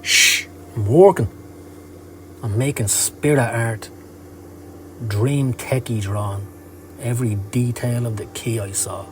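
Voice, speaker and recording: A man's voice: mid-range voice, steady tone, slow, pauses often, slight background noise, lightly acted. A man voicing a down-at-heel male occult hustler in a motion comic, slangy, profane and sardonic. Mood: brusque, sarcastic. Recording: music under the voice.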